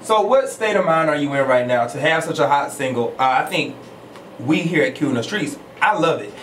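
A man's voice: on the high side, expressive, a little fast, fairly bright tone, far from the mic. An American man in his thirties hosting a casual radio interview. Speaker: radio show host. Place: small radio studio with foam panels. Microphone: studio microphone on boom arm. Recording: roomy, off-mic, faint background noise.

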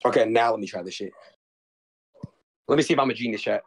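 A man's voice: fairly low pitch, fast talker, breathy edge. A young man in his twenties livestreaming from a bedroom, talking restlessly to his chat and friends with lots of swearing. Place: bedroom in a house.

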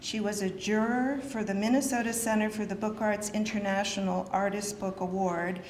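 A woman's voice: medium pitch, darkish tone. Middle-aged woman host reading an intro, reverberant hall, light background hiss. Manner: reads a list of titles, with brief asides. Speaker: event host introducing the speaker. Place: lecture hall with wood paneling. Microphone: podium microphone. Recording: echoey hall, faint ambience.

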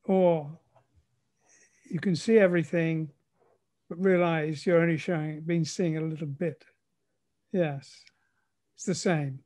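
A man's voice: a little high, steady tone, slow, long pauses, darkish tone. A man hosting a casual conversation, speaking in a polite, appreciative way. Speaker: conversation host. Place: remote call.

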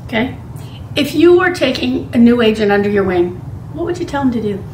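Higher-voiced woman interviewer, echoey room. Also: very animated, a little fast, a little mellow, distant mic, slight background noise. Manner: conversational, thinking aloud. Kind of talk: panel interview. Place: meeting room in front of a large screen. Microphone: far camera mic.

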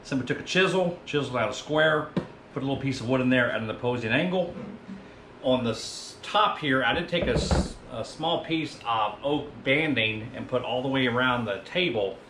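A man's voice: mid-range voice, normal speed, noisy background. A man in his fifties giving a casual, rambling voice-over as a woodworker, often correcting himself. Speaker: woodworker presenting own project. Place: small indoor woodworking workshop.

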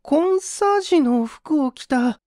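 A timid young schoolboy, acted out by a man for an audio story, speaks in a very high, raised voice. He is scared and answers haltingly, with a swooping melody in a dry, close sound. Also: animated, a little mellow, no echo.

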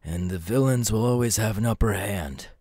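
Casting: male actor as a young villain recruiter for ASMR roleplay, low voice, dry close sound, deliberate and coolly menacing. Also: dark tone, husky, dry recording. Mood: sinister, calculating. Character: young adult male supervillain recruiter, cool, menacing, sly.

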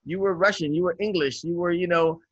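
A man's voice: high-pitched, steady tone, a little fast, no echo. Man, 50s, guest on a video call; dry, lo-fi webcam sound, earnest and thoughtful, many restarts. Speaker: guest educator. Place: indoors by a window.

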